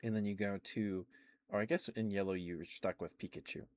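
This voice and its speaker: Deep-voiced man rambling in close, dry ASMR. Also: fairly even intonation, darkish tone, no room sound. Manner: halting, rambling delivery with stammered restarts. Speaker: livestream host. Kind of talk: casual rambling ASMR game chat.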